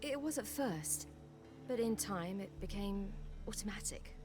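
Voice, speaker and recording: A woman's voice: mid-range voice, animated, unhurried, over music, close to an everyday voice. An actress playing a wistful, independent young woman who travels between worlds, in video game dialogue. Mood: matter-of-fact.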